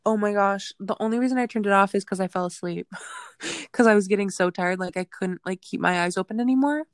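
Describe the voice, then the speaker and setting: A woman's voice: mid-range voice, a little fast, no room sound. Chatty Minnesotan woman, dry sound. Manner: chatty, giggly, trailing off with "like". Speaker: podcast co-host. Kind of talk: casual chat.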